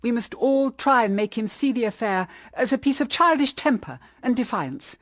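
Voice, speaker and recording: A woman's voice: fairly high pitch, moderate pace, no echo, clean studio sound, natural voice. An actress playing a stern, moralising middle-aged English mother in a period radio drama, with formal, emphatic diction. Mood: firm advising.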